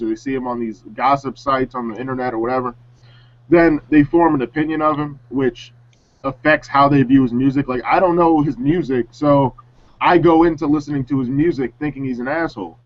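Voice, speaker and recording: A man giving opinions in casual chat as a talk-show panelist. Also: medium pitch. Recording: slightly dull, muffled audio.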